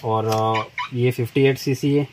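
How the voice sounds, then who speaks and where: Man, flat voice. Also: mid-range voice. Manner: plain, unhurried explaining while working with his hands. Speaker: tool shop seller demonstrating a chainsaw. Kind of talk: step-by-step product demonstration. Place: indoor tool shop counter. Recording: noisy shop recording.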